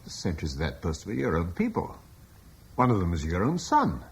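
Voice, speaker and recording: An actor playing a calm, commanding middle-aged husband and guard leader, warmly reassuring, in a radio drama. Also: male voice, deep voice, normal speed, creaky, a little hiss or hum, heavily acted.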